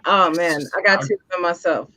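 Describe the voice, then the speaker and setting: A woman in her thirties chatting casually, bubbly and quick to react. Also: on the low side, crowd noise. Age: 30s. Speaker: podcast guest. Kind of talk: casual group chat.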